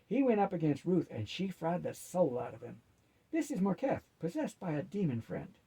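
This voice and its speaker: A man's voice: a little high, big pitch swings, normal speed, gravelly, warm tone, slightly rough, exaggerated voice. A man voicing a practical, plain-spoken motherly woman with a blunt, folksy manner in a storybook. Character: plain-spoken woman called Mama, practical team member.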